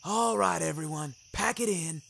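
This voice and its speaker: A man's voice: fairly high pitch, normal speed, creaky, pushed and bright, slight background noise, pitched above the performer's own voice, exaggerated voice. A man voicing a laid-back, showy jazz-loving wolf with a theatrical, melodious delivery in a fiction podcast. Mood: deflated.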